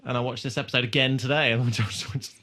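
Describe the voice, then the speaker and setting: A man's voice: mid-range voice, fairly even intonation, quick pace, pushed and bright, slight background noise. A man co-hosting a podcast, casually recapping a TV episode in an irreverent, sweary way. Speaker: podcast host.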